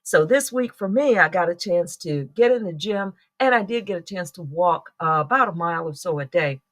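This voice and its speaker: A woman's voice: fairly low pitch, lively intonation, moderate pace. A middle-aged woman talks her way through a casual morning livestream in a rambling, chatty manner. She greets viewers by name and breaks into laughter often. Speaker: livestream host.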